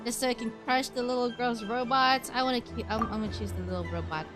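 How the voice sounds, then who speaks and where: A woman's voice: fairly high pitch, fast talker. A woman giving playful, reactive, casual commentary on a gaming livestream, with game music behind her. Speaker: streamer.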